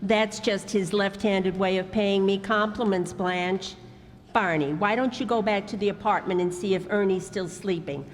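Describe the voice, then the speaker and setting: A woman's voice: mid-range voice, moderate pace, close to an everyday voice. Brief: a woman doing an upbeat, placating wife for a live radio comedy, hall reverb. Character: cheerful, patient older sister and wife. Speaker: amateur radio actor. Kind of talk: old-time radio comedy acting.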